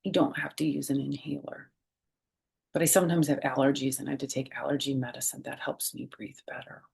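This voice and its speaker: A woman's voice: very deep voice, level tone, fairly quick, vocal fry, a little mellow. A woman hosting a children's storytime, reading aloud expressively and speaking directly to young listeners with questions and simple explanations.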